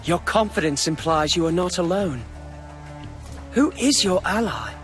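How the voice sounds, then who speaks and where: A dignified young nobleman in a video game drama. His voice is fairly high, formal and sternly authoritative. Soft music sits behind it. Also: animated, unhurried. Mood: stern, accusing.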